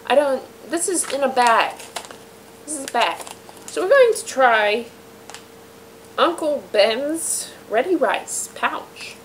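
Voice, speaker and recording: A woman hosting her home web show in a rambling, self-deprecating monologue, her voice raspy from being sick. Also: high-pitched, very animated, slow pace, long pauses, slight background noise, low-quality recording. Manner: chatty, self-deprecating, many fillers and trailing asides. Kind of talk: rambling casual monologue to viewers. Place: room in a house.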